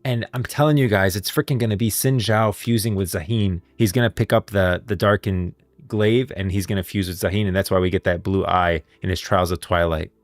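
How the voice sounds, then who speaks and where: Low-voiced male streamer in a roomy space. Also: steady tone, brisk, few pauses. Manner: enthusiastic fan, informal slang. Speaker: live streamer, game lore commentator. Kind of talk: casual livestream chat, reading dialogue and viewer comments aloud.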